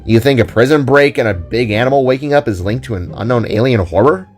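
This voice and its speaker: A man voicing a blunt but warm-hearted young friend who talks casually and directly in an audio drama. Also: mid-range voice, quick pace, faint music behind, close to an everyday voice. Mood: blunt, skeptical.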